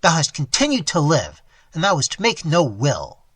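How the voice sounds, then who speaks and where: A man's voice: a little high, wildly swooping pitch, moderate pace, fairly bright tone, slightly rough, no room sound, heavily acted. A man doing a sneering, bitter young robber. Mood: cold. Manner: harsh, sneering. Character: bitter young deformed man, cold and scornful.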